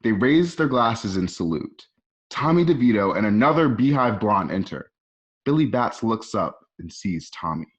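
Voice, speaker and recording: A man's voice: lowish voice, big pitch swings, normal speed, lo-fi sound. A man narrating screenplay scene directions like a storyteller, recorded at home. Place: at home, remote ensemble session.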